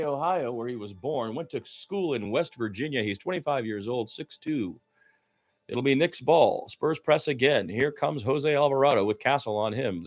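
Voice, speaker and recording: A man doing live radio play-by-play of a basketball game, informal and opinionated, swinging between low-key asides and excited calls. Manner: informal, opinionated, mildly profane.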